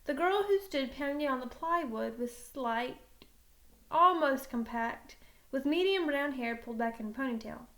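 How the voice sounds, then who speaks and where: A woman reading a children's novel aloud in an animated, dramatic storytelling manner. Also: high voice, moderate pace. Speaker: teacher reading a book aloud.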